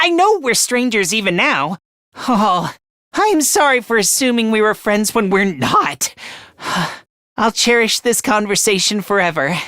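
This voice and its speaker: A man voicing a meek, self-loathing young anime game character in a high, light voice, hesitant and apologetic, stammering as he puts himself down. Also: fairly bright tone, no room sound, clean studio sound, full character voice. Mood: apologetic, dejected. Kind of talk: anime-style video game voice acting.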